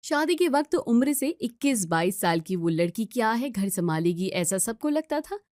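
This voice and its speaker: A woman's voice: on the high side, very animated, moderate pace, darkish tone, no room sound, studio quality. A woman reading a scripted story as a storyteller.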